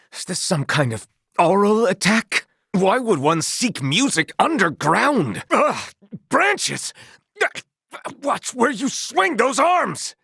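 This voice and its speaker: A man voicing an earnest, honorable young knight in a video game, speaking in formal, courtly, old-fashioned phrasing with a husky voice. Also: on the high side, a bit bright, dry recording, clean studio sound, heavily acted. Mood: outraged, pained.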